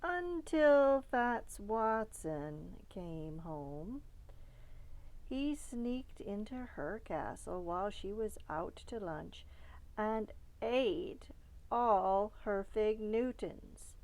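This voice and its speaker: A woman reading a children's picture book aloud in a deliberate way, pausing between short phrases. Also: mid-range voice, expressive, a little mellow, low-quality recording. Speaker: storybook reader.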